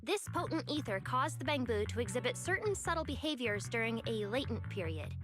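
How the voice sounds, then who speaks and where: A woman's voice: a little high, very animated, fairly quick. An actress playing an earnest, passionate young woman technician who is easily distressed, in a video game. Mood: focused, formal. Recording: background music under the voice.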